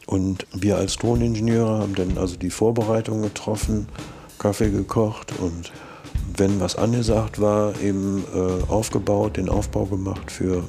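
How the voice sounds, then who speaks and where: An older man reminisces in an interview with a low, creaky voice. He speaks haltingly and casually, adding little tag questions, with music clearly behind him. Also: level tone, mellow. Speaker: sound engineer interviewee.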